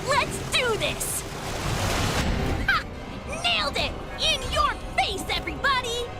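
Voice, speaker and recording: An actress playing a confident, bold young warrior heroine in a cartoon. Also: female voice, very high voice, very animated, takes it slowly, full character voice. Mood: bold, determined. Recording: loud music behind the voice.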